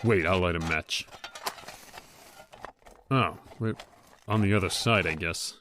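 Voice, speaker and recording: An actor playing a gruff, uneasy crook's accomplice in a slangy, grumbling old-time radio horror style. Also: male voice, low-pitched, takes it slowly, a bit bright, a little hiss or hum.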